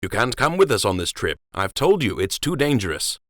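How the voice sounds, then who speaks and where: A man's voice: lowish voice, very animated, brisk, fairly bright tone, no echo, clean studio sound. A man voicing a solemn, tender rabbit king speaking to his son. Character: gentle older male rabbit king, grave and loving.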